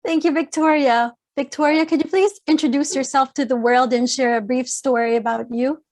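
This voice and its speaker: A woman's voice: high voice, fairly even intonation, normal speed. A woman hosting an interview show, reading a formal introduction and asking polite questions. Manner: formal and polite, reads from a script. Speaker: show host.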